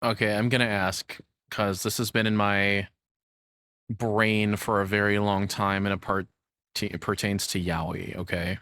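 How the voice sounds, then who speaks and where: A man's voice: on the low side, level tone, a little slow, fairly bright tone, no echo, clean studio sound. A man giving casual reaction commentary as a streamer, rambling informally and searching for words.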